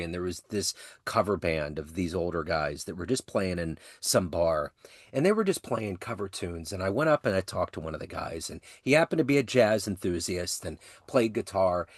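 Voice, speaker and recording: A man's voice: sits low, animated, fairly quick, no room sound, clean studio sound. A man from the American Midwest hosting a podcast interview, chatting casually and enthusiastically, with loose slangy tangents. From Midwestern United States. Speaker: podcast host.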